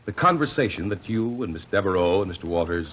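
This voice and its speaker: A measured courtroom advocate. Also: male voice, sits low, lively intonation, a bit bright. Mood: formal. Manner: measured, formal, probing. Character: Jonathan Kegg, methodical courtroom advocate, adult man. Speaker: lawyer questioning witnesses. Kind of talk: 1940s radio drama acting. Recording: dull lo-fi 1949 radio recording with faint hiss.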